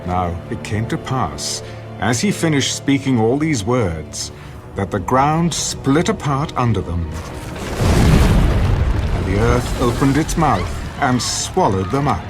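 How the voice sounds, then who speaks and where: A man's voice: medium pitch, very animated, takes it slowly. A man narrating a dramatized scripture story in a scripted, storytelling style. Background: music.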